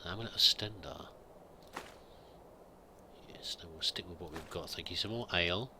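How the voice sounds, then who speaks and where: A man's voice: sits low, moderate pace, noisy background. A man thinking aloud while roleplaying a pilgrim in a video game, musing and hesitant with many restarts. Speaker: let's play gamer roleplaying a pilgrim. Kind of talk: in-character gameplay commentary.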